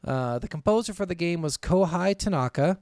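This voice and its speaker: A man's voice: on the high side, animated, brisk, a little mellow, no room sound, studio quality. A man co-hosting a casual gaming podcast, talking bluntly and swearing freely, sometimes reading from notes. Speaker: podcast co-host.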